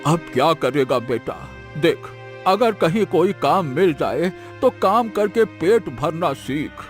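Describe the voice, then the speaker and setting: A man's voice: on the high side, lively intonation, slow pace, soft background music. A man acting an old village uncle in an audio story, weary and admonishing, with an exclamatory way of giving advice. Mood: reproachful.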